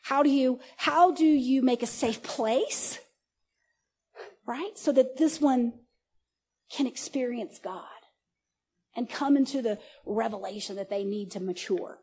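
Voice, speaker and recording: A woman in her forties giving a casual, conversational sermon at a church service, animated, often laughing and restarting her sentences. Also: a little high, a little slow, pauses often, breathy edge. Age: 40s. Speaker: preacher.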